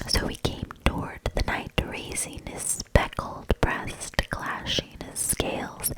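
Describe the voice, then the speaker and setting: A woman reading a fairy tale aloud in a breathy, hushed ASMR whisper with a measured delivery. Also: rough recording. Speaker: ASMR storybook reader.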